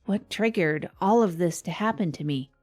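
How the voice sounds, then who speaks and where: A woman's voice: lowish voice, normal speed, darkish tone, soft background music, close to an everyday voice. A woman playing a wry small-town radio host, telling a comic monologue with hesitant, self-deprecating asides. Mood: wry, reflective. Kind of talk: scripted comedic radio monologue.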